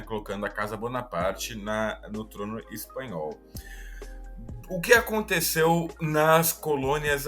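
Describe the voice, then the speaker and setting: A man's voice: mid-range voice, fairly bright tone. Chatty Brazilian man explaining history. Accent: Brazilian Portuguese. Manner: chatty, explanatory, self-deprecating asides. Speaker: podcast host. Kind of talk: casual history podcast explainer.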